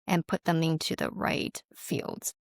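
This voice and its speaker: A woman's voice: low voice, little pitch movement, a little slow, gravelly, no room sound, studio quality. A woman speaking as an interview guest, explaining a product conversationally in an agreeable, affirming way. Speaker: company representative, interview guest.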